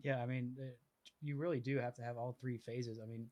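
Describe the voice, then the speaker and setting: A man's voice: mid-range voice, flat delivery, brisk, a little mellow. A man hosting a sports podcast, talking casually with lots of fillers and restarts. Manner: rambling, filler-heavy, restarting. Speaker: podcast host.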